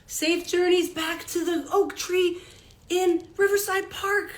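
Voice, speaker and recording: A woman voicing a groggy male owl puppet with a croaky voice and drowsy, old-fashioned politeness. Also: very high-pitched, fairly even intonation, takes it slowly, voice pushed up. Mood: polite.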